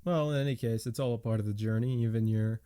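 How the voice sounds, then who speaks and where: A man's voice: on the low side, fast, dark tone, slight background noise. A man hosting a podcast, talking casually in a political discussion. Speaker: podcast host.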